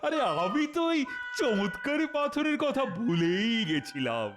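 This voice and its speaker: A man playing a gullible, quick-tempered king in a comedy cartoon, pompous and given to repeating his words. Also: very high-pitched, huge pitch swings, heavily acted. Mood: gleeful, self-assured. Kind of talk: Bengali comedy cartoon dubbing. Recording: music behind the voice. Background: background music.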